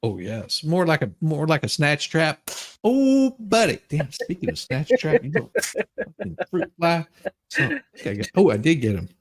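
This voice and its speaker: A theatrical livestream host reads aloud in a mocking, comedic way. The voice swoops widely in pitch and sits on the low side, with crude, playful asides thrown in. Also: big pitch swings, moderate pace, a little hiss or hum. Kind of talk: comedic dramatic reading of a court ruling, with ad-libs.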